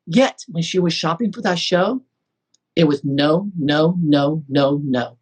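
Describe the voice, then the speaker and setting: A man's voice: fairly high pitch, slow, breathy edge. A man hosting a talk show, effusive and rambling, with many restarts. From Taiwan. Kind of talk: casual interview chat.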